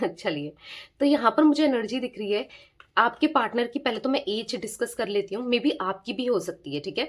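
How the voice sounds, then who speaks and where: A woman with a sore, hoarse throat giving a casual tarot reading, chatting with listeners and often checking in with them. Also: fairly high pitch. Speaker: tarot reader.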